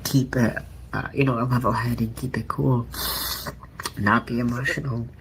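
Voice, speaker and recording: A woman giving hushed, hesitant personal testimony in a played clip. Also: a bass voice, fairly even intonation, normal speed, slight background noise, low-quality recording.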